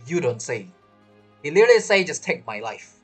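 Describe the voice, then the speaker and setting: Animated, playful game streamer. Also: mid-range voice, fairly quick, husky, faint music behind. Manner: playful, offhand reactions. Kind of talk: casual gaming commentary.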